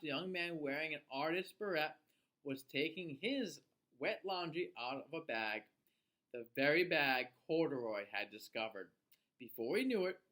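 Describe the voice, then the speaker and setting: A man reads a children's story aloud in an expressive teacher's style. His pitch moves widely from phrase to phrase, clearly shaped for young listeners. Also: poor audio.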